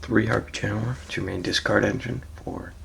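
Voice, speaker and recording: A gravelly, raspy man who sounds like he just rolled out of bed, his voice sitting on the lower side. He talks through his card deck in a relaxed, hesitant way, filling pauses with ums and letting phrases trail off quietly. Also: a little hiss or hum. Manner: laid-back, hesitant, frequent ums, trails off softly. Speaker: card game player presenting his deck. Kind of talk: casual deck walkthrough.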